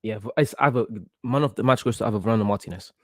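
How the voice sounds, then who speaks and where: A young man from Portugal, about eighteen, hosting a casual football watchalong stream in an excitable, reactive way and often repeating himself. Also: fairly low pitch, very fast, dry recording, studio quality. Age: young adult, about 18. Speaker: livestream host.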